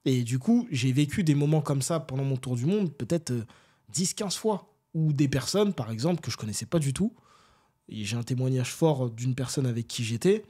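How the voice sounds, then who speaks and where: A man from France, an interview guest telling stories in casual, animated, slangy talk and often seeking agreement. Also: medium pitch, normal speed, slightly rough. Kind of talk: casual conversational storytelling.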